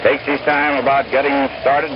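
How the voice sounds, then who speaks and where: A man's voice: medium pitch, flat delivery, moderate pace. An American man calling baseball play-by-play as an old-time radio commentator, in a folksy, conversational way. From United States. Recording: noisy, muffled 1930s-1940s radio recording.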